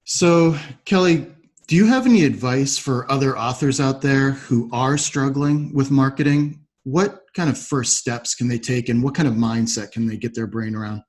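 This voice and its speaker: A man's voice: mid-range voice, moderate pace, a little mellow. A man hosting a podcast, interviewing his guest in a conversational, supportive way. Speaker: podcast host.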